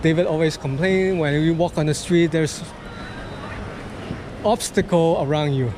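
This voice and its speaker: A man's voice: on the high side, darkish tone. Cantonese-speaking man walking busy streets. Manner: halting, multitasking while walking. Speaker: vlogger filming a city walk. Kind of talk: casual walking chat. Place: busy city streets outdoors. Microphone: gimbal-mounted camera mic. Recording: noisy street recording. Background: traffic noise.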